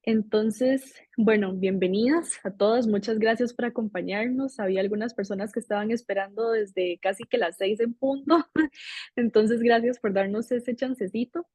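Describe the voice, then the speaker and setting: A woman's voice: a little high, normal speed, a little mellow, husky, no room sound. Chatty woman hosting an online session. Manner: friendly, chatty, laughs easily. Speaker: host. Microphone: video call.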